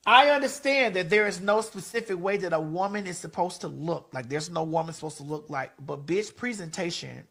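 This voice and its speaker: A woman's voice: fairly low pitch, expressive, a little fast, hardly any pauses, a bit bright. A woman in her forties talking bluntly and emphatically in a casual podcast chat, repeating phrases for stress and swearing freely. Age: 40s.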